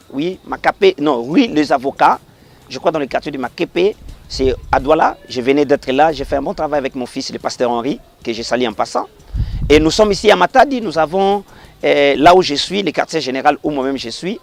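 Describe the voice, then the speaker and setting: Congolese pastor, earnest and emphatic. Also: male voice. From Congo Central, DR Congo. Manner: earnest, swings from raised emphatic passages to low quiet blessings. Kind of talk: formal interview remarks, preacherly.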